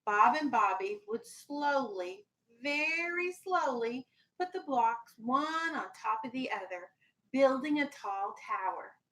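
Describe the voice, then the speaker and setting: A woman's voice: fairly high pitch, lively intonation, takes it slowly, fairly bright tone, rough recording. A woman teacher with a firm, instructive manner, reading a children's storybook aloud and talking to her class in a classroom. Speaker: elementary school teacher.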